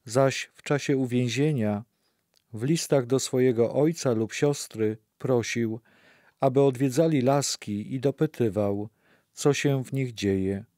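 Male narrator for a documentary audio play, soft mellow tone, close dry recording. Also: medium pitch, unhurried, no room sound.

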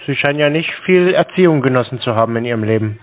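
A man answering scam calls with a calm, dry, sarcastic manner. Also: mid-range voice, fast, dull audio. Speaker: scam-baiting call recipient. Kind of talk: recorded phone call.

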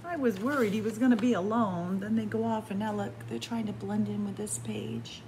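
A woman's voice: mid-range voice, normal speed. A woman reading a picture book aloud as a library story time reader, adding chatty, simple asides for young children. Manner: expressive, simple explanations for children. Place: small library room with bookshelves. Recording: light room background noise.

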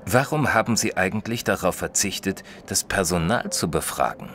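An actor playing a modest, sensible male companion who narrates a detective audio drama in a measured, literate way. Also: low voice, animated, fairly bright tone, a little hiss or hum. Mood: mildly puzzled. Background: music.

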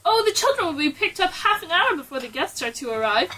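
Woman playing a very high-pitched, perky young secretary. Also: sing-song, fast talker, noisy background. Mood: chatty, breezy. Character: perky, vain young female secretary and babysitter. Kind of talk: comic audio drama acting.

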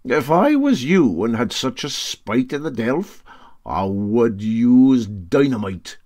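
A man's voice: medium pitch, sing-song, slow, darkish tone. A man voicing a canny, genial and boastful Scottish boat skipper with a sly, sing-song drawl, in a storybook reading. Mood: dryly agreeable.

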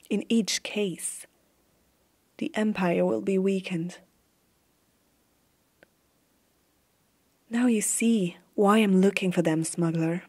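A stern evil empress of a fantasy realm, acted by a woman in an ASMR roleplay. She speaks slowly and softly, with a dark, husky voice. Every phrase is deliberate, carrying a haunted zeal. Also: mid-range voice. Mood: intense, resolute. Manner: slow, hushed, deliberate dramatic delivery.

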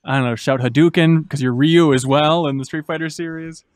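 A man's voice: a little high, steady tone, a little fast, no room sound. A man in his thirties interviewing a guest casually as a podcast host, close to a studio microphone. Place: indoor podcast studio with brick wall and shelves. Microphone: desk microphone up close.

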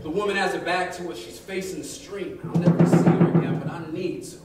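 A man in his thirties giving an expressive, colloquial dramatic reading of first-person fiction, acting out the dialogue on stage. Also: a little high, fairly quick. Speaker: story reader. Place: arts venue stage, live with musicians. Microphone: studio-style microphone on a stand. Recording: echoey live room, distant, loud percussion. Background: live drum and percussion music.

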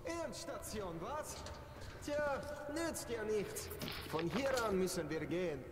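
An actor voicing a gruff, matter-of-fact soldier companion in a video game. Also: male voice, sits high, sing-song, slow, noisy background, full character voice. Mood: terse. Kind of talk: scripted game dialogue.

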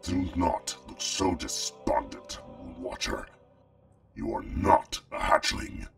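Very slow, gravelly, menacing dragon father. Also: low voice, animated, far from the mic, faint music behind, exaggerated voice. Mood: grim, stern. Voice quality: gravelly, growling. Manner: gratingly slow, cold, contemptuous. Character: stern, menacing adult male dragon father. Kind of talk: audio drama acting.

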